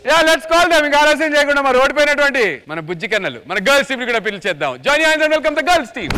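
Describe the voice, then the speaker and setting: A man's voice: very high-pitched, big pitch swings, quick pace, pushed and bright. A man with a Telugu accent announcing and bantering as host of a TV dance show. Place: TV studio stage. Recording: music behind a stage show.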